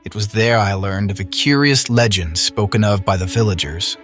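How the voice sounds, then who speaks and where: A man acts out an earnest young knight of Arthurian legend with a fairly low voice. He tells his tale in a solemn, formal, old-fashioned way. Music runs underneath in this audio drama. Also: moderate pace, a bit bright, lightly acted. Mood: solemn, reflective. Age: young adult. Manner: formal, archaic, solemn storytelling. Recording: voice over orchestral music.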